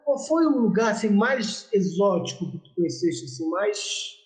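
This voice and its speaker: A chatty middle-aged man hosts a relaxed online conversation, his voice sitting high for a man. He laughs easily and stumbles over repeated words. The room around him rings with echo. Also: moderate pace. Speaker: host. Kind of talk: casual online talk interview. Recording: echoey room.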